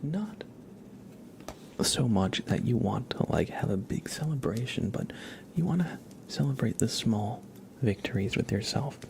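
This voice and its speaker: A soft-spoken man whispering gently and politely in a casual ASMR livestream, chatting with viewers and reading cards. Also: medium pitch, animated, measured pace, vocal fry, a little hiss or hum.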